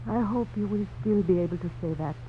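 Young governor's daughter in love played by an actress, careful and courtly, old radio drama, dull recording. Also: female voice, medium pitch, quick pace, a little hiss or hum, close to an everyday voice. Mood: wistful. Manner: formal, careful phrasing. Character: gracious, teasing young Spanish-colonial governor's daughter in love. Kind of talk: 1950s radio drama acting.

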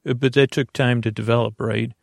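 A man with a creaky voice hosting a bedtime podcast in a slow, halting, soothing ramble full of tangents. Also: medium pitch, fairly even intonation, no room sound, studio quality. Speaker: podcast host.

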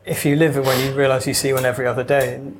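A man's voice: medium pitch, flat delivery, very fast, background noise. A man from Wales with no Welsh accent, an author talking casually in an interview, wry and digressive with hesitant restarts. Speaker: author guest.

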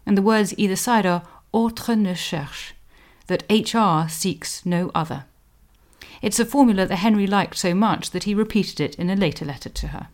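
Articulate woman historian narrating a podcast. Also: mid-range voice. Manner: articulate, scholarly, deliberate emphasis. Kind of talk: scripted explanatory narration.

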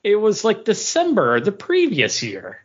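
Animated male podcast co-host, casual. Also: high voice, a little slow, husky, lo-fi sound. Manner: animated storytelling, acting out dialogue. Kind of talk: casual banter and anecdotes.

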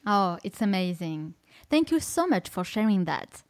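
A woman's voice: medium pitch, sing-song, unhurried, dry recording. A French woman with a French accent hosting a podcast conversation in English, speaking emphatically and effusively. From France. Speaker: podcast host. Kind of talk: casual interview conversation.

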